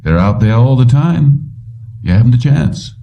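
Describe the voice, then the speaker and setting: A man's voice: mid-range voice, expressive, normal speed, creaky, dark tone, voice pushed up. A man reading an audiobook, voicing an earnest, resolute older father who owns a company. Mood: persuasive. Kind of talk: audiobook character dialogue. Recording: slightly distant, dull lo-fi recording.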